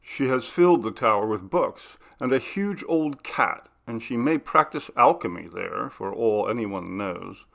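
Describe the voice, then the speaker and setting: A man reading aloud, voicing an amused, courteous young gentleman in a ghost story. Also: on the low side, animated, unhurried, gravelly, few pauses, full character voice. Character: young gentleman host, Paul, amused and mysterious.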